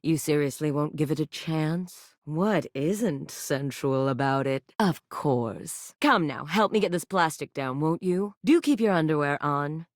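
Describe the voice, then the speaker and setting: A voice actress playing a flirty, theatrical woman who teases in a slow, sultry drawl. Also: deep voice, lively intonation, no room sound, clean studio sound. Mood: teasing. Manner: sultry and playful, slow drawn-out delivery. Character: flirty, theatrical adult woman, bold and teasing. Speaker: voice actor. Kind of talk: video game character voice lines. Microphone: close studio mic.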